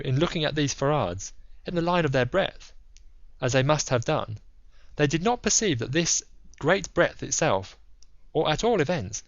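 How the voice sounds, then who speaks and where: Audiobook role, male narrator acting: self-assured gentleman sleuth, precise and emphatic, swooping intonation, dry close sound. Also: fairly high pitch, no echo, highs cut off. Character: analytical, self-assured gentleman detective explaining his deductions. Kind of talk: dramatized audiobook dialogue.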